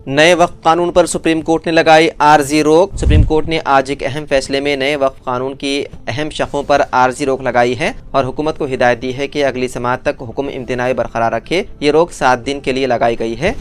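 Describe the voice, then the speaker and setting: A man presenting scripted news in a formal bulletin delivery, as a news presenter would. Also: medium pitch.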